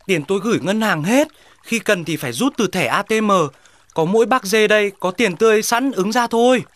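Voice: medium pitch, expressive, fairly quick, a little hiss or hum, lightly acted. An actor voicing a reluctant, grumbling animal in a comic cartoon dub. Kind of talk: Vietnamese children's cartoon dubbing.

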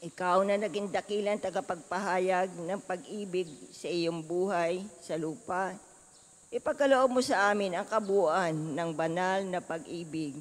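A person leading liturgical prayers in a steady, solemn way. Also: medium pitch, a little slow, in a large hall. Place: church.

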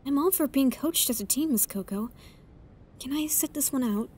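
A woman's voice: sits high, expressive, moderate pace, warm tone. A woman playing a friendly, agreeable young superhero in a comic dub, with prominent music behind. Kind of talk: acted comic dub dialogue.

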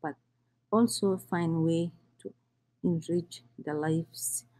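A woman, reading her own life story in halting English. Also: low-pitched, mellow. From Somalia. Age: 30s. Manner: halting, with restarts and non-native grammar. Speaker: immigrant storyteller.

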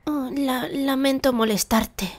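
A woman voicing a shy, earnest teenage schoolgirl in an anime fan dub, soft-spoken, hesitant and apologetic. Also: high-pitched, fairly even intonation, takes it slowly.

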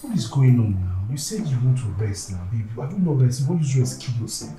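A man's voice: medium pitch, very animated, fast, mellow, lightly acted. A man with a Nigerian accent acting a pleading, boastful, impatient partner in a comedy skit, whiny, insistent and repetitive. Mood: irritated, demanding. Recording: echoey room, far from mic, music behind.

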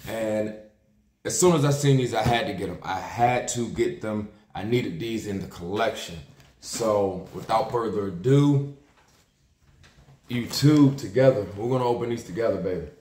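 A man's voice: fairly low pitch, normal speed, a little mellow. An American man in his thirties chatting casually through an unboxing vlog, laid-back and slangy, repeating his phrases. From Maryland, United States. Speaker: YouTube sneaker unboxer. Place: small indoor room with plain wall. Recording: roomy, echoing indoor sound.

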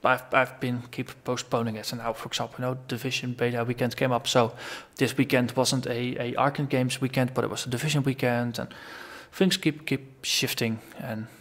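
A man's voice: mid-range voice, level tone, fairly quick, few pauses, fairly bright tone. A man hosting a gaming channel, talking through a long casual solo vlog, rambling and thinking aloud as his voice tires. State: voice tiring after a long recording.

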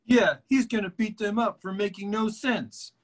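A man reading a goofy bar regular who teases his friends with silly sing-song jokes. His voice sits high, and it comes through a video call. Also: moderate pace. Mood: teasing. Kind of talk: amateur table read over video call.

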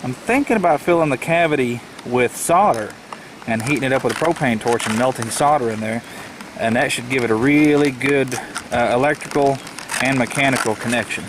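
A man's voice: mid-range voice, brisk. A man giving a casual, unscripted hands-on tutorial, thinking aloud as he works outdoors. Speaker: DIY vlogger. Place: outdoors in a rural yard. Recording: noisy outdoor camcorder audio. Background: clear outdoor ambient noise.

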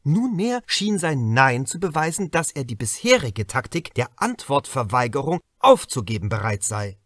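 A man's voice: mid-range voice, sing-song, moderate pace, fairly bright tone, dry recording, clean studio sound. A man narrating a crime novel as an audiobook, reading from a script with dramatic emphasis.

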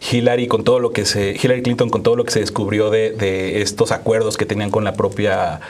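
A man's voice: on the low side, little pitch movement, fairly quick. A man in his thirties with a Mexican accent, giving conversational political commentary as a studio guest, often searching for words. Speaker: activist, panel guest. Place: small dark quiet studio, seated at table.

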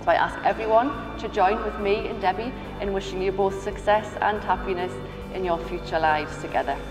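A woman registrar leading a wedding ceremony, reading vows in a measured, formal way. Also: medium pitch, fairly even intonation. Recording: echoey hall with music.

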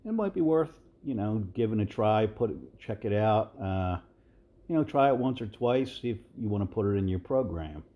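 A man in his sixties giving relaxed, rambling voice-over commentary as a fitness video host, with many fillers and restarts. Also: lowish voice, moderate pace, highs cut off. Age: 60s.

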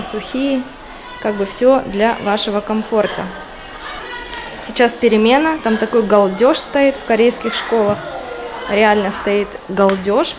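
A woman from Kazakhstan chats to her viewers as she walks through a building, explaining things with small laughs and fillers. Her voice sits at an ordinary middle pitch. The handheld camera catches clear background noise under her speech. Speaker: vlogger. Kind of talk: casual walking vlog narration. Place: inside a large school building, rooms and corridors, partly outdoors. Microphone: handheld camera, speaker off screen.

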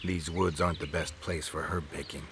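A low-voiced stern monster hunter from a dark fantasy game. He speaks bluntly and gruffly, keeping himself controlled. Clearly noisy game ambience sits behind him. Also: steady tone, fairly quick. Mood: deadpan. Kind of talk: video game voice acting.

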